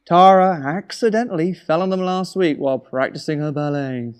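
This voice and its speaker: Man playing anxious husband, fairly high voice, wry, hesitant, weary, naturalistic radio play. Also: moderate pace, clear, resonant tone, close to an everyday voice. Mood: subdued, anxious. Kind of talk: naturalistic audio drama acting.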